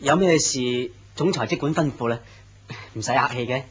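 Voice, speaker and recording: An actor playing a nervous, deferential young office worker in a radio drama. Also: male voice, mid-range voice, moderate pace, natural voice. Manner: deferential, anxious. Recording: dull lo-fi recording.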